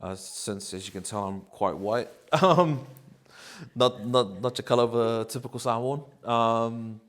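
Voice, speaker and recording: A man, answering interview questions in a relaxed, rambling way with many ums and likes. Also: mid-range voice, a little slow. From New Zealand. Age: 30s.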